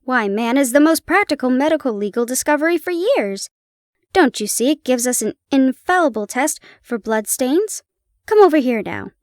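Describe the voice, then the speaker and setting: An eager, brilliant detective bursts with enthusiasm, as a woman reads him aloud. The voice sits fairly high and sounds dry and close. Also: animated, moderate pace, dry recording. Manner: fervent, exclaiming.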